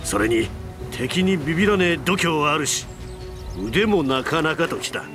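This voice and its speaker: An actor playing a burly, brash, friendly warrior in a video game, with rough, boisterous colloquial speech. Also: male voice, a little high, expressive, normal speed, over music, lightly acted. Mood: hearty, brash. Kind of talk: video game cutscene voice acting.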